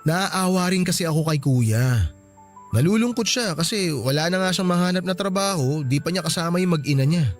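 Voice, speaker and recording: A man's voice: on the high side, animated, normal speed, soft background music, close to an everyday voice. A man playing a conflicted young Filipino husband in a radio drama, hesitant, pleading and defensive. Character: conflicted young husband, apologetic, loyal to his brother.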